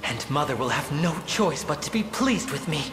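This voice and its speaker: Snooty bitter young wizard lord for a game, theatrical, echoey with music behind. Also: male voice, on the high side, big pitch swings, normal speed. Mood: haughty scorn. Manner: haughty, theatrical, disdainful. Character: pompous, bitter young sorcerer lord craving his mother's praise. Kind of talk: video game cutscene acting.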